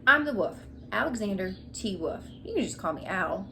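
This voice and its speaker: A woman's voice: medium pitch, very animated, moderate pace, background noise. A woman voicing a chatty, indignant male storybook wolf who protests his innocence in an emphatic, confiding way. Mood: defensive, indignant.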